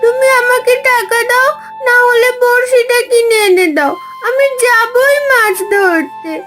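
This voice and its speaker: A woman's voice: very high voice, a little slow, music in the background. A woman voicing a stubborn little village girl in a cartoon story, whining and insisting like a child. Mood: stubborn, insistent. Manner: whiny, insistent, childlike pleading.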